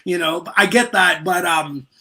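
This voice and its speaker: Bright, high-pitched man hosting a podcast. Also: unhurried. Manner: informal, slangy, repeats phrases. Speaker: podcast host interviewing a music artist. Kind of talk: casual, chatty podcast hosting.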